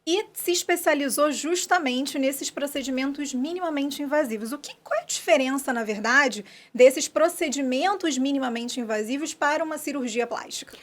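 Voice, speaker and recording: High-voiced woman podcast host. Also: normal speed, few pauses. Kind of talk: podcast interview hosting.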